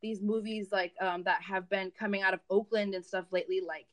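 Woman, young adult, Spanish first language, casual interview, rambling, filler-heavy, middle pitch. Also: steady tone, brisk. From United States. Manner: rambling, many fillers and restarts. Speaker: guest, event organizer.

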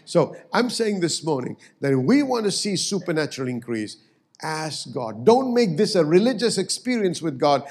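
A man's voice: a little high, big pitch swings, moderate pace, a little mellow. A man preaching a sermon as pastor, emphatic and swelling on key words.

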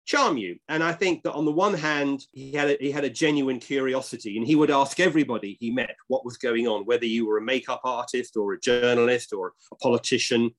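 A man's voice: medium pitch, brisk, few pauses. A man, a commentator on music and culture, speaking thoughtfully in an interview. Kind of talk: reflective interview talk.

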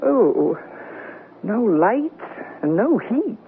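Wry middle-aged wife, tinny radio. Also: female voice, mid-range voice, sing-song, extremely slow, exaggerated voice. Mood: dryly practical. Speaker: actress. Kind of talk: 1970s radio comedy acting. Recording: narrowband 1970s radio recording, faint hiss.

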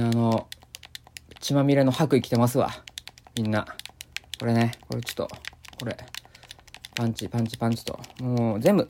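A man giving improvised deadpan commentary as he plays a video game. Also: mid-range voice. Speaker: gamer doing commentary. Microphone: voice memo recording.

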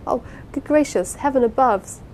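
Woman doing a high, wailing, timid young landlady's daughter. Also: moderate pace, a little mellow, rough recording. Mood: distraught, pleading. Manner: pleading, flustered, wailing. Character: meek, timid, hysterical young lodging-house woman. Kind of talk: dramatised audiobook reading of a Victorian novel. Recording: clear low rumbling background noise.

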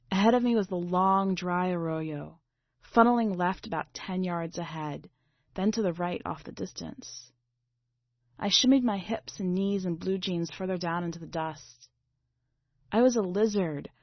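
A woman reading a literary short story aloud in a measured, dramatic way. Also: medium pitch. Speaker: story reader. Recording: slightly dull, boxy roomy recording.

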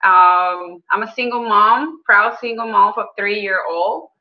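A woman tells her story as an interview guest, earnest and halting, pausing on ums and restarting her sentences. Her voice sits in a middle pitch, and the recording sounds slightly dull and muffled. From Venezuela. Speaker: guest, health coach and business owner. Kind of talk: conversational interview.

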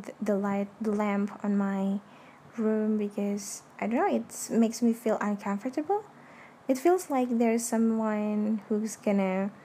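A young Indonesian woman whose first language is Indonesian hosts her own podcast in a soft, hushed, slow way, talking casually from her bed late at night with a fan running in the room. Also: a little high. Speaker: podcast host. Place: dark bedroom at night with an electric fan running. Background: electric fan hum.

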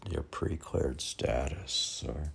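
A man's voice: extremely low pitch, slow, gravelly, slightly rough. A man reading his own poetry, drifting into musing, meandering asides as if talking to himself. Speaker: poet reading own work.

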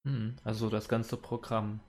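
A man hosting a casual livestream interview and putting viewers' questions to his guest, speaking haltingly with many fillers. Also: on the low side, little pitch movement, darkish tone. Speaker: stream host.

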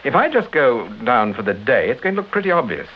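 Urbane British detective, lively swooping delivery. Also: male voice, medium pitch, fairly quick. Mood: wry, relaxed. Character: suave married amateur detective, urbane and brisk. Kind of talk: vintage BBC radio drama acting. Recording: dull, hissy old radio recording.

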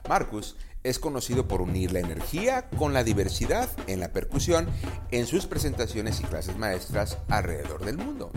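A man hosting a podcast, reading a formal introduction of a guest. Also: mid-range voice. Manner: formal, read-out delivery. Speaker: podcast host. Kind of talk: scripted introduction. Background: music.

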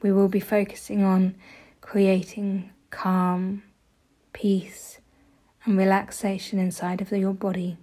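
A woman leading a guided meditation with soft, gentle, often breathy delivery, giving soothing instructions. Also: medium pitch, completely flat delivery, takes it slowly.

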